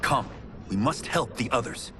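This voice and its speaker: A man playing a stern, reproachful warrior in a video game, terse and forceful. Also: mid-range voice, animated, moderate pace, natural voice. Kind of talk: cinematic video game acting. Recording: loud mechanical ambient noise.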